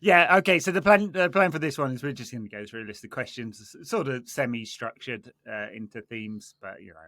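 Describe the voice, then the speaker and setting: A man hosts a casual football podcast in a bright, forward voice of ordinary pitch. His banter is dry and sardonic. The sound is close and dry, with no room on it. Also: a little fast, no room sound. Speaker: podcast host.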